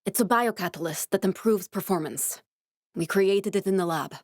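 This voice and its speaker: An actress playing an earnest, guarded woman scientist in a video game, speaking in a measured, serious, instructive way. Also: medium pitch, no echo, studio quality, natural voice. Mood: focused, briefing.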